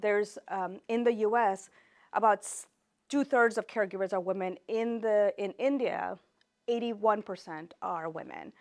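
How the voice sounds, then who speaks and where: A woman speaks as a professor taking a class through slides, and her first language is Gujarati. Her delivery is informal and conversational. She often corrects herself mid-phrase and turns to her listeners with rhetorical questions. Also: medium pitch. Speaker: professor, researcher lecturing. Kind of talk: informal academic lecture with slides.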